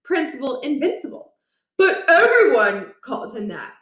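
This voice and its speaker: Woman putting on an excitable, high-pitched schoolboy. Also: measured pace, heavily acted. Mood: insistent. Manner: gushing, slangy, whiny complaining. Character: excitable, argumentative schoolboy, dim but eager. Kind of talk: children's storybook character voice. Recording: roomy, echoing room.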